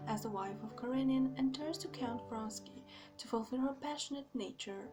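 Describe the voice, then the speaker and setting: A young woman talks through her bookshelf in a gentle, half-whispered way, her voice sitting a little high. Background music plays under her words at home. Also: normal speed. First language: Romanian. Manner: soft, often half-whispered delivery. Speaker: book vlogger. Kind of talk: casual book collection vlog with read-out summaries. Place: home room lit by natural light.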